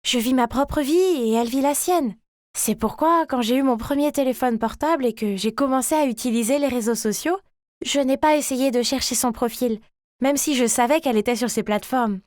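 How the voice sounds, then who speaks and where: A chatty young schoolgirl acted by a woman narrates a cartoon story about her life. The voice is very high and expressive, recorded dry with no room sound. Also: a little fast, no echo, natural voice. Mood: puzzled, slighted. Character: chatty, ordinary schoolgirl, overlooked younger sister. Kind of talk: animated first-person story narration.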